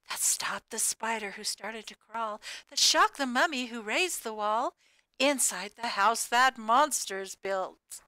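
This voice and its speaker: Female storybook reader, crisp and close-sounding, expressive sing-song delivery of cumulative rhymes for young children. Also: fairly high pitch, no echo, poor audio. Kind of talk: animated children's read-aloud of a rhyming picture book.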